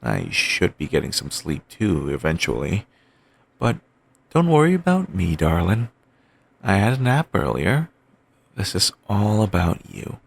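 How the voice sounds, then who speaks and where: A man voicing an obsessive, doting young boyfriend, rambling softly in a bedtime comfort roleplay. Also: sits low, sing-song, unhurried, vocal fry, slightly rough, full character voice. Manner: soft, rambling, affectionate murmuring.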